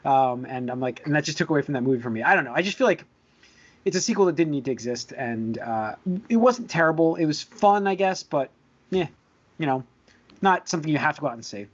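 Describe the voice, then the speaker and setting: Man, podcast host, slightly high voice. Halting, hedging, conversational delivery. Dull, lo-fi sound. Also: lively intonation. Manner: halting, hedging, repeats words. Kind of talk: casual chat about movies and shows. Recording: dull lo-fi voice recording.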